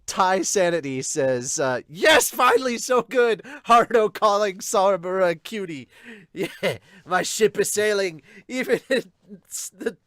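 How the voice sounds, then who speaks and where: A man's voice: high-pitched, lively intonation, unhurried, fairly bright tone, no room sound, studio quality. A man hosting a podcast, reading comments aloud and chatting casually in a somewhat hesitant way. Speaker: podcast host reading and discussing viewer comments.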